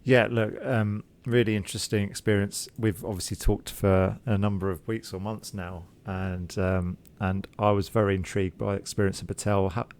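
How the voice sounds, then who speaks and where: A man hosts a podcast interview in a fairly low-pitched voice. He delivers a prepared welcome, then asks his questions with frequent ums and repeated words. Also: level tone, normal speed, few pauses, a little mellow. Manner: scripted intro, then hesitant questions with repeats. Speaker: podcast host.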